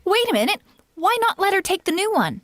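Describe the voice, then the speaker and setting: A woman's voice: very high voice, big pitch swings, a little fast, fairly bright tone, exaggerated voice. A woman playing a frank, loyal housemaid in old-time radio comedy, reacting wryly. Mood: alarmed.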